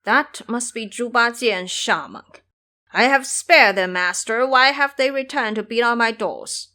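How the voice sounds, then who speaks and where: A woman voicing a fierce, scornful old male monster warlord with gruff, blustering speech in an audio drama. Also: a little high, a little slow, pushed and bright. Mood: surprised.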